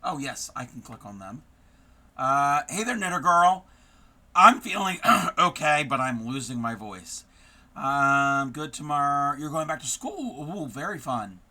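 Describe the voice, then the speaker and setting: A hoarse man who is losing his voice, hosting a casual live stream and chatting warmly with viewers by name, with many ums. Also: on the high side, expressive, unhurried. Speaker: craft live-streamer host.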